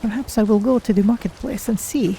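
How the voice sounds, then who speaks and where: A woman's voice: mid-range voice, a little fast, dark tone, slight background noise, lightly acted, rough recording. A woman voicing a curious, naive cat-folk adventurer, musing aloud in careful, formal phrases as she plays a game. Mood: puzzled. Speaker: streamer voicing own game character. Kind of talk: in-character game playthrough narration.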